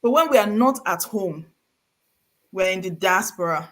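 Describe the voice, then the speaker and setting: A woman's voice: mid-range voice, expressive, moderate pace. A middle-aged woman from Nigeria, hosting a live-streamed conversation and telling stories in an earnest, emphatic way. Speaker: host of a live session.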